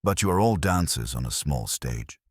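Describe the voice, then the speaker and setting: Man acting imperious older male detective, audiobook mystery, bottom-register voice, husky, formal, stern, judicial phrasing. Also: rumbling low, animated, dry recording, studio quality, heavily acted. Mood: coolly probing. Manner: formal, measured, pronouncing judgments.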